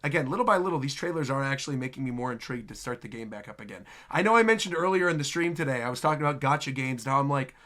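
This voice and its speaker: A man's voice: mid-range voice, expressive, very fast, hardly any pauses, pushed and bright. A man hosting a reaction stream, giving casual live commentary and rambling as he thinks aloud.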